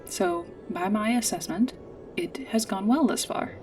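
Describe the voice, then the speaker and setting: A woman playing a polite android guide in a sci-fi ASMR roleplay, speaking in a formal, precise, measured way. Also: mid-range voice, lively intonation, a little mellow, distant mic, a little hiss or hum, natural voice. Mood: matter-of-fact. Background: music.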